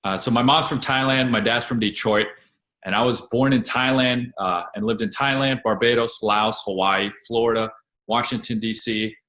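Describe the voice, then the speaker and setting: Late-20s guy telling his story easy and steady, echoey room sound. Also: medium pitch, moderate pace. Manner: relaxed, steady storytelling with fillers. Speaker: guest actor and writer. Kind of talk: casual interview chat.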